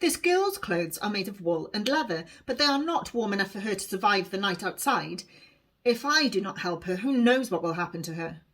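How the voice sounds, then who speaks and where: A woman reading a novel aloud as its author, in expressive, dramatic storybook narration for young listeners. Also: mid-range voice, normal speed.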